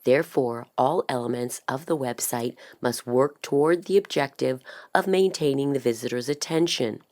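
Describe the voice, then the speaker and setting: A woman with a very deep voice for her sex narrates a scripted explainer. She delivers it like a voice-over artist, in a measured and instructional manner. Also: darkish tone.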